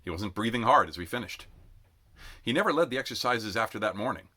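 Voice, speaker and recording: Very bright, animated male story narrator. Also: fairly low pitch, fast talker. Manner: animated, dramatic phrasing. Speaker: amateur read-aloud narrator. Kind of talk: expressive audiobook-style narration.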